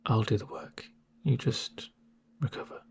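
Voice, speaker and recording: A man playing a calm, reassuring medic with dry humour in a soft-spoken, hushed ASMR roleplay. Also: a little hiss or hum, close to an everyday voice. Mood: soothing, calm. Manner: unhurried, soothing, deadpan asides. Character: calm male corporate medic, gentle, reassuring, drily sardonic. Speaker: medic caring for a patient.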